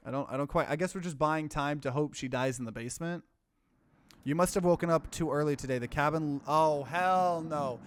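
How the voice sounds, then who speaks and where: A man doing a let's play, reading game text aloud and breaking in with animated, bewildered reactions. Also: a little high, brisk, smooth, dry recording.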